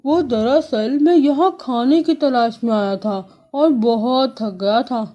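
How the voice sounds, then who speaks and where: A greedy male crow , played by a woman telling the story, who bosses and gloats in a loud, drawn-out voice. The tone is mellow and dark, with a high-set pitch. The recording sounds dull and lo-fi. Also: close to an everyday voice. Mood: bossy. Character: greedy bullying male crow, smug and pushy.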